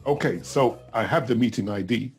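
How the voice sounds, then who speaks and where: A middle-aged man giving a casual step-by-step tutorial in a chatty, simple way, with a husky voice. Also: mid-range voice, moderate pace, soft background music. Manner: simple, chatty, talking himself through each step. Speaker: tutor in a tutorial video, also acting as the student.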